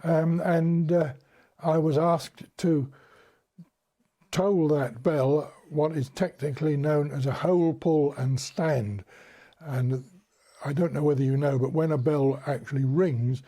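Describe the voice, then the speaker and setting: Elderly English man with a mellow voice. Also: a little high. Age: 70s or older. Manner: courteous, formally worded, hesitant. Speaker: interview guest, bell ringer. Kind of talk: unscripted recollection and explanation in a broadcast interview.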